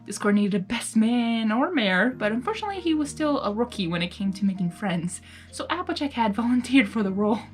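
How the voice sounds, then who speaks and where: A woman reading fan fiction aloud with giggly, excitable asides. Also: on the high side, brisk, soft background music. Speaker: fan fiction reader and channel host. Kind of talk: animated blind reading of a story with casual asides.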